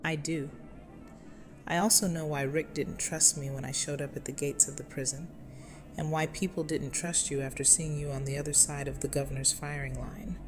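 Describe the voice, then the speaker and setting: A woman's voice: deep voice, fairly even intonation, normal speed, lightly acted. A woman playing an earnest, resolute community leader seeking reconciliation in a fan audio drama. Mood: calm, candid. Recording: music under the voice.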